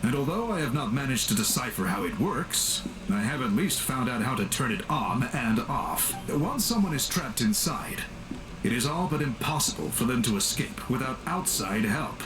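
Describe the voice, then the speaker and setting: A man as a formal, lively-toned scholarly game character. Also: medium pitch, noisy background, close to an everyday voice. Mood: explanatory. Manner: formal, measured, explanatory. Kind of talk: video game voice acting.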